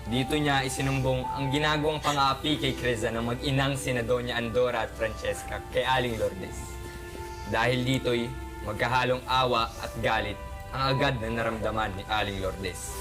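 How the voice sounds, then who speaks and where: A man's voice: mid-range voice, fairly even intonation, normal speed. A young man reading scripted narration for a radio drama. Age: teenager or young adult. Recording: music playing behind the voice.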